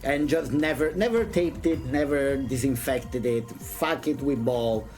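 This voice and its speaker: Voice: medium pitch, measured pace, a little mellow, faint music behind. A non-native English speaker streaming, chatting casually in a jokey, sweary, slangy way between bits of dramatic read-aloud. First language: not English. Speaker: streamer reading a visual novel aloud and chatting.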